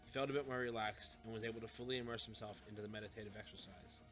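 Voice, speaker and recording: A man's voice: fairly low pitch, steady tone, very fast, a bit bright, soft background music. A man reading a scripted story as an audiobook narrator.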